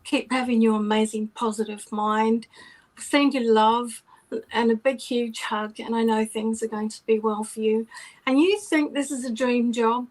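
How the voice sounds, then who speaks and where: A soft-voiced woman gives a psychic reading, calling the listener darling and gorgeous. Her pitch sits fairly high, and she now and then drops to a whisper. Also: steady tone, unhurried, rough recording. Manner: warm, affectionate, drops to whispers. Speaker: psychic reader.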